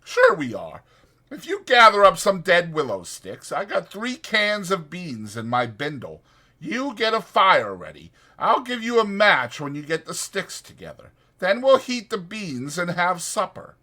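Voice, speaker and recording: A man's voice: high-pitched, huge pitch swings, unhurried, sharp tone, voice pushed up, exaggerated voice. A man voicing an impatient, bossy but caring ranch hand who talks in a brusque rural American vernacular in an audiobook. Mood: easygoing, bossy.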